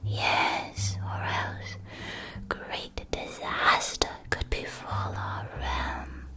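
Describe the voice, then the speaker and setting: A woman playing a gentle witch school shopkeeper in a whispered ASMR roleplay, soothing and precise, with a theatrical storytelling manner. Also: drawn-out words. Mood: gentle, mysterious.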